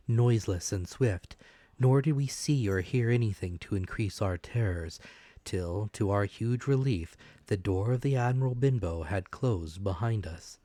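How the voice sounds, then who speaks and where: Male audiobook narrator, lower-pitched, measured and expressive dramatic storytelling, very dry recording. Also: dry recording. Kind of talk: dramatic scripted narration of a classic adventure story.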